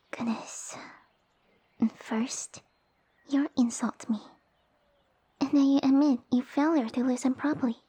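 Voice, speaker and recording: Haughty young lamia heiress, played by a woman, high and breathy, pompous and bossy, for ASMR roleplay audio. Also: warm tone, full character voice. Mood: haughty, scolding. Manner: pompous, formal, commanding speech. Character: haughty, sassy young lamia noblewoman, tiny monster girl.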